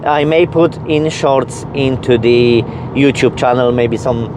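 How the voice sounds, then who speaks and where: A man with a Polish accent talks casually to his viewers at a slow pace. His delivery is halting, with phrases repeated and reworked as he goes. Clear ambient noise, like traffic or a vehicle, sits behind his medium-pitched voice. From Poland. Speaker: YouTube vlogger. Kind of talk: casual storytelling and chat to viewers.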